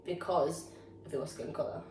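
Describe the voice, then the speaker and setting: A woman's voice: low-pitched, steady tone, moderate pace, a little mellow. A young woman with a Geordie accent, chatting frankly and casually as a podcast co-host, a drama student. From North East England. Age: young adult. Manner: chatty, frank, swears freely. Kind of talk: casual chat. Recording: echoey room, mic far off, faint noise.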